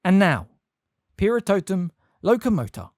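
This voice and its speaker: A reader voicing a stern older woman who gives sharp, scolding commands. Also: medium pitch, sing-song, fast, no echo, studio quality, pitched above the performer's own voice, natural voice. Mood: commanding.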